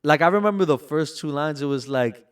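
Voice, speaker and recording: A man in his twenties from Brooklyn, New York, a music artist recalling memories in a relaxed interview, peppering his talk with "like" and "you know". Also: a little high, fairly quick, clear, resonant tone. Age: 20s. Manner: relaxed, reflective storytelling with frequent "like" and "you know". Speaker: bachata artist, guest. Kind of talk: casual interview conversation.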